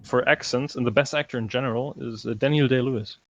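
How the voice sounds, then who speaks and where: A man's voice: medium pitch, fairly even intonation, fast talker, highs cut off. A man co-hosting a film podcast, chatting casually and giving hedged, understated opinions. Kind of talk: casual chat reviewing films.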